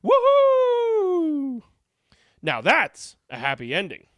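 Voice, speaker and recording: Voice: high voice, wildly swooping pitch, very slow, fairly bright tone, dry recording, studio quality. A reader giving a theatrical, emphatic read-aloud of a storybook. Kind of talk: animated read-aloud narration.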